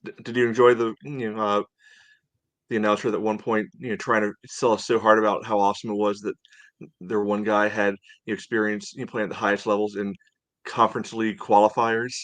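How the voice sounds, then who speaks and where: A man's voice: fairly low pitch, level tone, fairly quick, no echo. An American man co-hosting a sports podcast, chatting casually in a rambling, conversational way.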